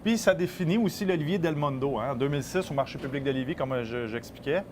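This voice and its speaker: A man's voice: a little high, quick pace, noisy background. A man in his thirties with a Québécois French accent, a small-business owner who explains his work eagerly, with many fillers. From Québec. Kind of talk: casual interview.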